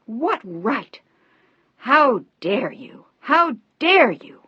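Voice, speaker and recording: A woman voicing a furious young daughter who shouts and sobs her accusations, in a dry, slightly dull recording. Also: fairly low pitch, normal speed, dry recording, close to an everyday voice.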